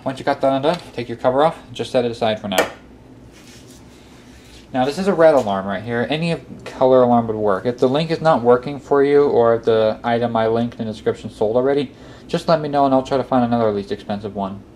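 A man's voice: mid-range voice, quick pace, a little mellow. A man presenting a casual step-by-step tutorial, often repeating himself as he instructs. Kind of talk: casual hands-on how-to instruction. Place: indoor workbench. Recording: clearly noisy amateur room recording. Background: clear background noise.

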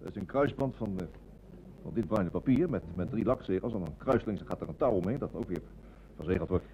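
An actor playing a nervous, flustered bank clerk in a radio play, hesitant and stammering. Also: male voice, sits low, animated, brisk, warm tone, highs cut off, slight background noise. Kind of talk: Dutch radio play acting.

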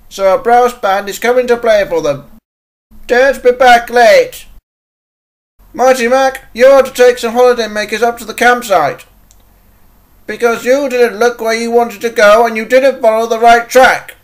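A man's voice: very high-pitched, moderate pace. A man voicing a thin, strict railway controller from a children's cartoon, formal and admonishing.

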